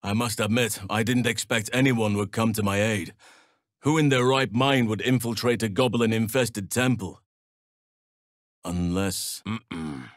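A man playing a low-voiced gentle elf druid. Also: dry recording, clean studio sound, close to an everyday voice. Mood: calm, thoughtful. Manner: measured, earnest, formal phrasing. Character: gentle, solemn male elf druid, big and protective. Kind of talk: fantasy video game character dialogue.

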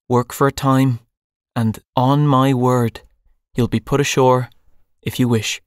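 A man's voice: medium pitch, level tone, slow pace, no echo, studio quality, pitched above the performer's own voice, natural voice. A man voicing a young, stern male pirate captain who speaks in cold, clipped commands. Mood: cool, mocking.

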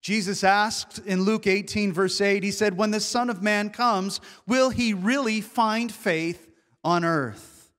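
A male pastor preaching a sermon, asking earnest rhetorical questions and slowing down to stress key words. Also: high voice, fairly bright tone, big-room sound. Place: church sanctuary.

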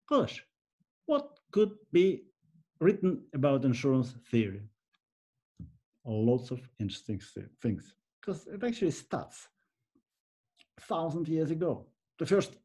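An older man with a Russian accent talks to his webcam from a room at home. His voice is dark and mellow, but he swings it high and low with sudden bursts of energy as he lectures, gesturing as he goes. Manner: animated, gesturing, lively swings in pitch. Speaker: insurance specialist, channel host. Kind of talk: unscripted talk to camera. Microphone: webcam at desk.

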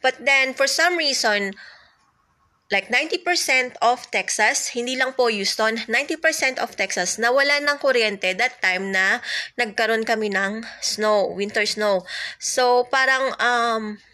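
Chatty Filipino woman with a bright voice. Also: fairly high pitch, measured pace. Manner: chatty, rambling, lots of fillers and soft laughs. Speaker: vlogger. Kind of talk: casual chat to camera, mixing Tagalog and English. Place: home, indoors. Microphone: phone held close, front camera.

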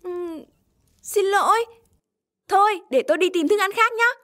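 A woman voicing a small, timid and earnest young chicken in a cartoon, squeaky, with music behind. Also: normal speed, a bit bright, a little hiss or hum. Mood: earnest, apologetic. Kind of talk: animated children's cartoon acting.

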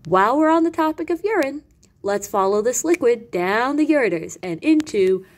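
A woman reading educational material aloud as a teacher, with animated emphasis and carefully separated lists. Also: fairly high pitch, moderate pace. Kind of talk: expressive educational read-aloud.

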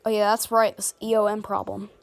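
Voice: mid-range voice, monotone, fairly quick, creaky. A speaker giving a casual how-to demonstration, talking informally and directly to viewers while working with gear. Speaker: hobbyist video maker demonstrating weather radios. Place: small carpeted room at home, indoors. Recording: noisy handheld home recording with clicks.

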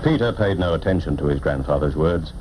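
A man narrating a children's storybook cartoon in a theatrical, expressive way, on an old film soundtrack. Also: extremely low pitch, a little fast, a little hiss or hum. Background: orchestral music.

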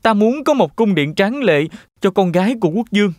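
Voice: mid-range voice, animated, brisk, close to an everyday voice. An actor playing an earnest, polite young male hero in a children's cartoon dub, speaking formally. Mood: earnest, respectful. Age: young adult.

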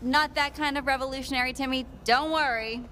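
A woman's voice: high voice, steady tone, brisk, long reverb tail, very noisy, natural voice. A woman playing a dry, teasing, loyal assistant in a video game, with a wry and understated delivery.

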